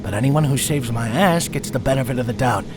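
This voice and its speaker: A man's voice: medium pitch, animated, fairly quick, over music, natural voice. A man playing an easygoing, good-natured spaceship crewman in a sci-fi audio drama, laid-back, wry and friendly.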